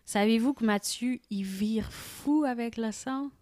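A woman's voice: a little high, measured pace, a little mellow, dry recording. Quebec woman in casual, teasing banter. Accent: Quebec French. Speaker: podcast co-host.

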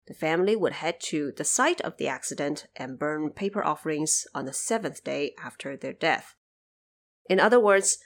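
A woman podcast host giving a scripted but informal explanatory narration. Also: fairly low pitch.

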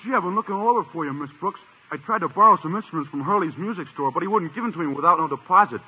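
In a muffled, echoing old radio recording, a high-pitched teenage boy. He is earnest and slow-witted, with gawky, naive speech and a husky edge, done as 1950s radio comedy. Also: animated. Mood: worried. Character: dim, earnest teenage boy shop helper.

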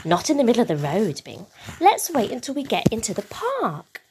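A woman voicing a patient, gentle, encouraging caregiver in a storybook, reassuring and measured. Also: a little high, huge pitch swings, slight background noise. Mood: kindly.

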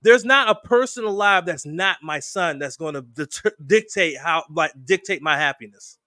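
A man's voice: fairly high pitch, moderate pace, bright, forceful tone, dry recording. A man in his forties co-hosting a podcast in casual chat, blunt and profane. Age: 40s. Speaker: podcast co-host.

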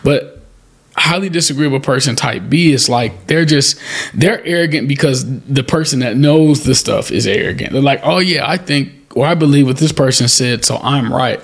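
Podcast host, man, mid-pitched, gravelly, casual and rambling. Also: moderate pace. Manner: loose, conversational, many fillers.

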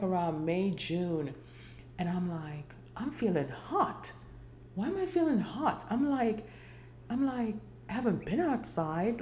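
A woman's voice: medium pitch, a little mellow, roomy sound, slight background noise. A woman in her forties hosting a casual solo podcast, chatty and rambling, with many fillers and restarts. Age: 40s. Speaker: podcast host.

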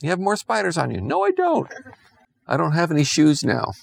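A man voicing an excitable, unhinged male space crewman in a bedtime story, talking in a jittery rush. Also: a little high, fairly quick, gravelly, a little hiss or hum, voice pushed up, full character voice. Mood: frantic.

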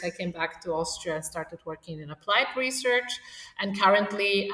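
A woman's voice: fairly low pitch, a bit bright. A middle-aged woman with a Polish accent, giving conversational answers as an interview guest. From Poland. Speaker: podcast guest, economist and research director. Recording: echoey, distant, reverberant room.

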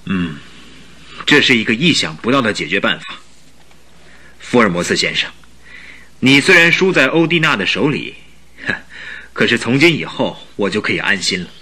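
A man's voice: lowish voice, big pitch swings, normal speed, long pauses, pushed and bright, exaggerated voice. A man playing a gracious, formal young king in a Mandarin radio drama. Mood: relieved, gracious.

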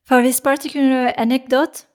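A woman hosting an interview and adding her own reflections. Her voice sits a little high, and she speaks thoughtfully with pauses and fillers. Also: little pitch movement. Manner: thoughtful, hesitant, many fillers. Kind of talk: conversational interview.

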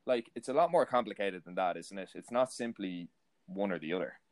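Male interviewer, lowish voice, chatty and rambling, lots of fillers. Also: a little fast. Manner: long rambling questions with many fillers. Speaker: podcast host, interviewer. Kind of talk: conversational interview questions.

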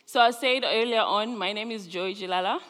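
Young woman from zambia preaching in a big chapel, earnest and warm, lots of echo. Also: medium pitch, brisk. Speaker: student chapel speaker. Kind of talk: chapel sermon. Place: large chapel hall. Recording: live chapel hall with long reverb.

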